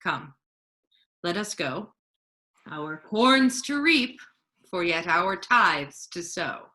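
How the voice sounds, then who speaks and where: A calm, reassuring friar who is secretly a duke acted by a woman speaks in a lowish, forward, bright voice. The verse is measured and persuasive, in the manner of a staged reading. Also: full character voice.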